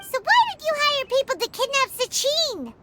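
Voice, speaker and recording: A woman voicing a small, childlike, excitable female fairy companion in a video game, with a squeaky, exclamatory delivery. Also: moderate pace, very noisy. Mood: curious, surprised. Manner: exclamatory, blunt questioning.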